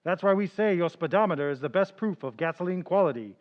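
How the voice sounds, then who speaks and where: A man reading an old-time radio gasoline commercial with a brisk, upbeat salesman delivery. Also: high voice, lively intonation. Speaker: announcer. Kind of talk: vintage radio commercial read. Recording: dull lo-fi old recording.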